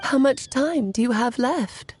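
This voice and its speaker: A woman's voice: high-pitched, a little slow, darkish tone, slight background noise. A woman voicing a playful, theatrical witch in a video game, teasing and amused.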